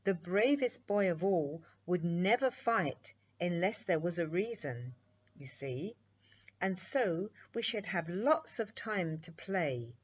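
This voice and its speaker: A woman's voice: on the low side, animated, slow, slightly rough, exaggerated voice. A woman voicing an eager, talkative little princess in a children's storybook, self-assured and earnest, on a muffled recording. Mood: earnest, wise.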